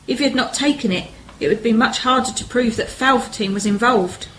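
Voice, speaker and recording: A woman's voice: fairly high pitch, brisk, fairly bright tone, a little hiss or hum, close to an everyday voice, rough recording. A woman playing an indignant, emotional wife caught up in a spy case in an amateur radio play. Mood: composed. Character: proud wronged wife turned detective.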